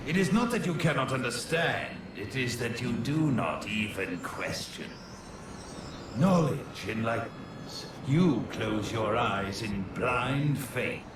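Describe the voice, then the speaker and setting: A male actor playing an arrogant, scholarly villain in a video game, speaking in a deliberate, condescending, theatrical way. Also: medium pitch, expressive. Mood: scornful. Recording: heavily echoing, distant, noisy game capture.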